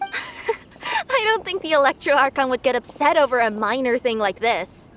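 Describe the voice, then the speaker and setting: A woman's voice: high voice, very animated, normal speed. A woman playing a breezy, playful young reporter in a video game, with a chatty, headline-style way of talking. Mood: pleased. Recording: loud music behind the voice. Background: game music.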